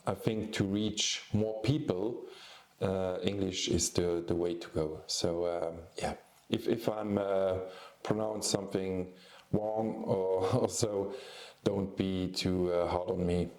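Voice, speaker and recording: A man in his forties from Luxembourg, not a native English speaker, hosting a casual photography vlog and talking hesitantly with many pauses and restarts. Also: low voice, steady tone, darkish tone, reverberant. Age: 40s. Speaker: photographer and YouTube host.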